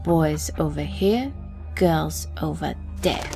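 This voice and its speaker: A woman playing a streetwise, superstitious Cajun bayou woman in an audio drama, with slow, incantatory storytelling and quiet menace. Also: low voice, vocal fry, over music, exaggerated voice. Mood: wistful, intense. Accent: Louisiana Cajun.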